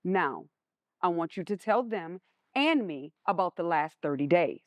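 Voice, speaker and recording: A woman's voice: on the low side, animated, unhurried, no room sound. A woman playing a stern, proud mother in a stage play, with a commanding and pointed way of talking. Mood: stern, controlled. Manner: commanding, pointed, formally clipped.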